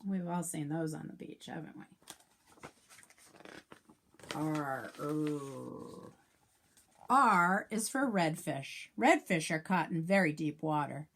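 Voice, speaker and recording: A woman reading a children's picture book aloud like a teacher, sing-song and clearly enunciated, with asides and questions for young listeners. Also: low-pitched, expressive, takes it slowly, a bit bright, a little hiss or hum.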